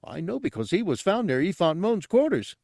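A dim, eager brute of an alien guard. The voice is pushed high and swings widely, with childlike excitement and blunt, emphatic, broken sentences. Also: male voice, fairly quick, creaky, no echo, studio quality, heavily acted. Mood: insistent.

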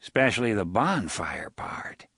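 A man's voice: fairly low pitch, very animated, unhurried, fairly bright tone, husky, no echo, studio quality, full character voice. A man doing a sly, drawling outlaw. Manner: folksy drawl, crude. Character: crude drawling male outlaw, sly and menacing.

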